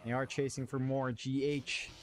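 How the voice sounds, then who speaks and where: A man's voice: medium pitch, level tone, normal speed, soft background music. A man working as an esports caster, mixing live game commentary with casual chat. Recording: clearly noisy stream audio.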